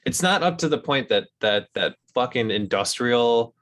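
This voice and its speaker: A man in casual chat with friends. He rambles through his points and swears offhandedly. His pitch sits in the middle range. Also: expressive, moderate pace, fairly bright tone.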